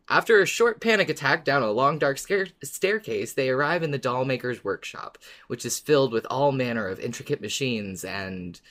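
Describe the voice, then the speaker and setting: A man's voice: medium pitch, fairly bright tone. A man speaks as a podcast co-host in a mid-range voice. His delivery is conversational, suited to casual discussion and episode recaps.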